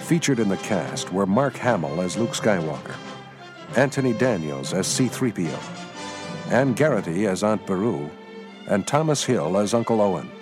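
A man giving solemn, measured scripted narration as the announcer of a radio drama. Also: lowish voice, very animated, music in the background.

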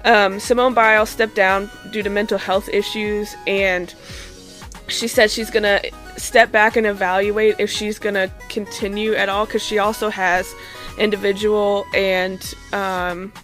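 A woman's voice: medium pitch, little pitch movement, unhurried, a bit bright. Casual woman podcaster, music underneath. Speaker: podcast co-host reading news segment. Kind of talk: casual, ad-libbed news recap.

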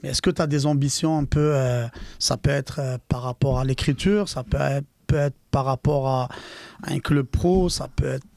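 A man's voice: mid-range voice, fairly even intonation, moderate pace, vocal fry, darkish tone, no echo. A man hosting a podcast who asks long, rambling questions and fills gaps with agreement sounds. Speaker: podcast host. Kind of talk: casual podcast interview.

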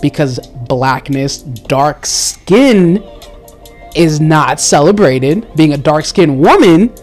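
Animated woman with high exclaimed asides. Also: takes it slowly, faint music behind. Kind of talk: candid casual discussion.

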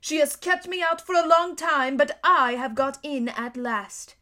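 A woman voicing Father Christmas in a storybook reading, a huge, glad old man speaking in slow, grand pronouncements. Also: high voice, fairly even intonation, pushed and bright, voice pushed up. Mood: solemn.

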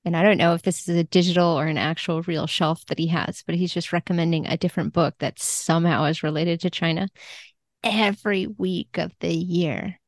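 A woman's voice: lowish voice, fairly even intonation, brisk, dry recording, studio quality. A woman talking casually as a translator guest in a podcast interview.

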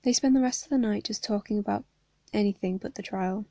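A young woman, hoarse from hay fever, reading a story aloud and chatting casually between chapters. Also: mid-range voice, fairly quick, dark tone, no room sound, highs cut off. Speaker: fan fiction reader.

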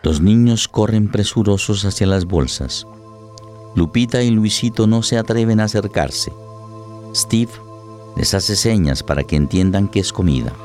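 Radio drama narrator, male, fairly low register, scripted dramatic storytelling over a soft music bed. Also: lively intonation, a little slow.

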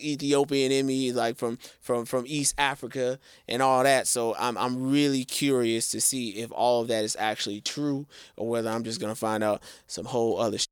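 A man co-hosting a podcast in casual chat, lively and speaking straight to viewers with slang. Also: mid-range voice, clear, resonant tone, no echo, clean studio sound. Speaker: podcast co-host.

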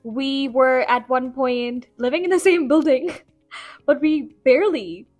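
A woman's voice: sits high, unhurried, a little mellow, dry recording, soft background music. A young adult woman hosting a podcast in a chatty, rambling, self-deprecating way. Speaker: podcast host. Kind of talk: casual podcast chat.

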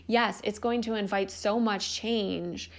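A woman's voice: mid-range voice, fairly even intonation, slow. A young woman hosting a podcast, talking earnestly and encouragingly about life purpose, casual with the odd swear word. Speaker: podcast host. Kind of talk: spiritual self-help monologue.

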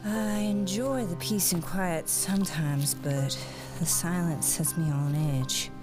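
Low-voiced, wary western heroine acted by a woman. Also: takes it slowly, music in the background. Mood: uneasy. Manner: wry, talking to herself. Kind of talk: audio drama acting, muttering to herself.

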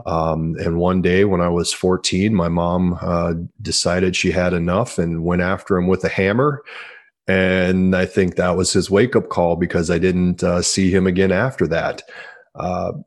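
Very low-voiced man, earnest author guest. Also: level tone, unhurried. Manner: earnest, repeats words when starting sentences. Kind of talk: interview answers.

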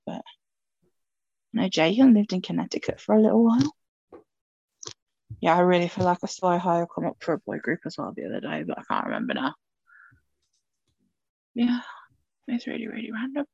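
A woman's voice: fairly low pitch, vocal fry, a little mellow, no echo, dull audio. A woman co-hosting a podcast in casual chat, rambling and chatty, laughing often. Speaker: podcast co-host.

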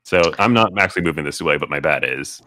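A man's voice: rumbling low, expressive, quick pace, pushed and bright, dry recording. A man playing a tabletop game in a playful, joking way. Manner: playful, jokey. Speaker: player. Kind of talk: casual tabletop play.

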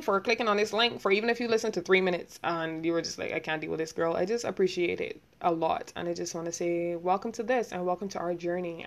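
A young woman with a Barbadian accent talking quickly and rambling, hosting a casual solo podcast and laughing often. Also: lowish voice, gravelly. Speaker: podcast host.

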